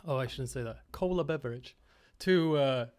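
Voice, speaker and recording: Young man, slightly raspy livestream host. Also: mid-range voice, normal speed. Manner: relaxed, rambling, talks to chat. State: slightly raspy after being ill. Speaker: livestream host teaching game creation. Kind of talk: casual livestream tutorial. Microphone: headset.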